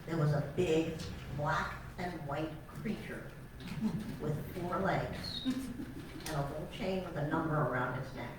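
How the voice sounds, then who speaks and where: An older woman tells her story to a meeting crowd in a chatty, heartfelt, self-deprecating way. She is far from the mic in a very echoey hall. Room noise comes through clearly behind her. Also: fairly low pitch. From born in Alabama, raised on Long Island. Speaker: cattle farmer, retired teacher. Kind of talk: personal storytelling to an audience.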